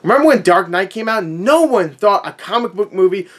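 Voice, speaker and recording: A man's voice: high-pitched, animated, a little fast. A man giving a casual, opinionated rant in a sarcastic, sweary, conversational way. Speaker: movie review vlogger.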